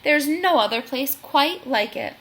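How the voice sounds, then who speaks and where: A woman's voice: sits high, expressive, measured pace, fairly bright tone, full character voice. A woman voicing a fussy, stubborn old male turtle in a children's storybook. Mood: fretful.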